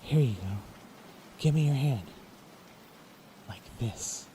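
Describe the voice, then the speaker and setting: A man playing a gentle young knight who talks softly and soothingly in a fan-made audio drama. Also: lively intonation, slow, slight background noise. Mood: tender. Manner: soft, soothing, low-spoken.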